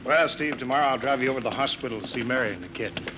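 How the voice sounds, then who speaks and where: A man's voice: medium pitch, rapid-fire, lightly acted. A man playing an anxious air base project chief in 1950s radio drama, urgent and pleading. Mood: reassuring, dismissive. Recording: muffled, hissy old radio broadcast.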